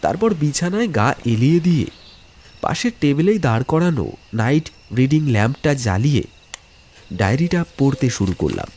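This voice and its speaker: A man's voice: mid-range voice, very animated, normal speed, a little mellow, slight background noise. A man narrating a scripted ghost story in the first person, telling it in a dramatic, suspenseful way. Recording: music bed under the voice.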